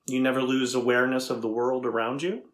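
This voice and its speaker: A man's voice: mid-range voice, flat delivery, natural voice. Inquisitive middle-aged researcher played by a man. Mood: curious, earnest. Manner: inquisitive, deliberate questioning. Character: earnest, curious male AI researcher interviewing an AI. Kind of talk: dramatized transcript reading.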